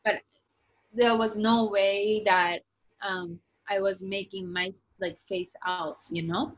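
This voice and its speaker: A Japanese woman whose first language is Japanese, speaking English with a Japanese accent as a guest author, chatty and lively and full of "like" fillers. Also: mid-range voice, slow pace, highs cut off. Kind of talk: casual interview talk.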